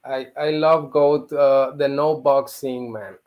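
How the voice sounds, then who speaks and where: A man from Mexico, a guest on a casual livestream video call, frank and thinking out loud as he talks. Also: mid-range voice, fairly even intonation, slow, highs cut off. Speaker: guest reseller.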